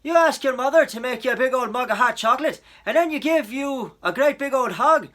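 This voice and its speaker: A man's voice: very high-pitched, animated, fairly quick, pushed and bright, pitched above the performer's own voice, full character voice. A man voicing a hearty, patronizing police officer with an amused manner in a storybook. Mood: jovial, soothing. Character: gruff, jovial, patronizing male police officer on the telephone. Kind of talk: storybook character voice.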